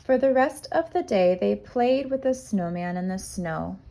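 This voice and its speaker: A woman narrates a picture book for small children. Her delivery is unhurried and expressive, with a mid-range voice and simple, clear phrasing. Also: mid-range voice. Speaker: kindergarten teacher reading aloud.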